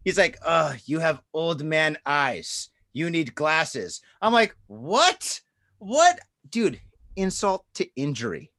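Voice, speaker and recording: A man's voice: a little high, very animated, slow, fairly bright tone. A man in his forties telling a rambling, profane story in casual podcast chat, peppering it with "dude" and "man". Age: 40s. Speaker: podcast co-host.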